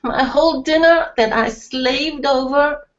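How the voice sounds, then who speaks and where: A woman's voice: high voice, unhurried, voice pushed up. A woman voicing a frantic, dramatic middle-aged mother, wailing and exasperated, on a slightly dull lo-fi recording. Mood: indignant.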